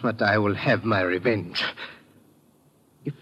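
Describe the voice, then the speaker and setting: A man's voice: lowish voice, takes it slowly, fairly bright tone, slightly rough, full character voice. A man playing a secretive, urgent, frightened informant out for revenge in an old radio drama, speaking in formal wording. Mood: bitter, fearful. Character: furtive, frightened, vengeful male informant. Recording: muffled, noisy old radio recording.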